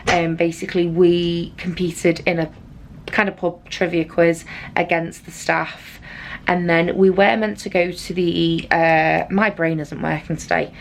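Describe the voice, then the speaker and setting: A woman with a naturally raspy voice, hoarse from losing her voice, chatting through a rambling vlog recap in her cruise cabin on a handheld camera. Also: fairly low pitch, little pitch movement, measured pace, slight background noise. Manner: chatty and rambling, with frequent fillers. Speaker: travel vlogger. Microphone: handheld compact camera.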